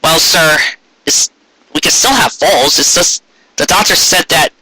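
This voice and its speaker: A man's voice: on the high side, a little slow, bright, forceful tone, slightly rough, pitched above the performer's own voice, heavily acted, rough recording. A man voicing a gangly, earnest stallion son-in-law, nervous but fiery, with a stammering, impassioned delivery in a fan fiction story. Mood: flustered, stammering. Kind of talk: amateur fan fiction audio reading.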